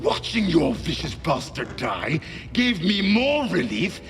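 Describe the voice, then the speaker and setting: An actor playing a bitter, defiant accused man in a screen drama, gravelly, scornful, drawing out his words. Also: on the high side, huge pitch swings, a bit bright, soft background music, heavily acted. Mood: venomous defiance. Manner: emphatic, drawn-out. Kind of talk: television drama acting.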